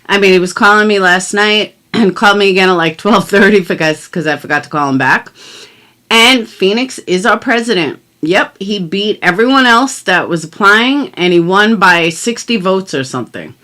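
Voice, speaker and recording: A woman's voice: mid-range voice, expressive, moderate pace, bright, forceful tone. A middle-aged woman vlogger who chats casually and reads documents aloud in an emphatic, blunt manner, swearing freely. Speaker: commentary vlogger. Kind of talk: casual chat and mock-dramatic reading of legal documents.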